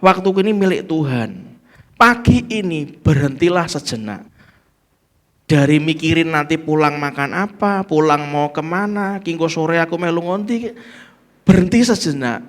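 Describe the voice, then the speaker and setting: A man's voice: a little high, lively intonation, moderate pace. A man with a Javanese accent preaching a sermon as pastor, emphatic, calling the congregation to say amen and slipping into local phrases.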